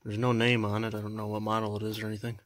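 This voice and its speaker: A young man chatting casually in a vlog, halting and full of fillers, filming indoors at a house. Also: lowish voice, completely flat delivery, a bit bright, slight background noise. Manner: halting, many fillers, unsure. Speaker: vlogger. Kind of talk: casual show-and-tell vlog.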